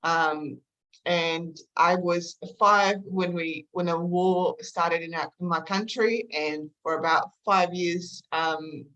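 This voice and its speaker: A woman with a mixed Eastern European and Australian accent, telling her story in a halting, reflective way as an interview guest. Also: fairly low pitch, fairly even intonation, a bit bright, muffled recording. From former Yugoslavia, moved to Australia at eleven. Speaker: podcast guest, counselor.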